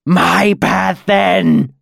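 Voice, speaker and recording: A venomous captive demon from a fantasy tale, acted out by a male storyteller, hissing and rasping. He spits hateful, defiant words with shrieking desperation, his pitch pushed up a little, in a dry, close recording. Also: slow pace, dry recording. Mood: shrieking defiance. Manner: shrieking, spitting curses.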